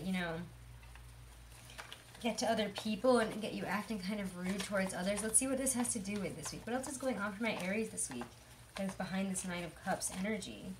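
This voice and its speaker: Young woman a bit hoarse, rambly chatty tarot reader, faint hiss behind. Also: mid-range voice, rough recording. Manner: chatty, rambling, many fillers. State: hoarse from illness. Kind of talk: casual tarot reading monologue.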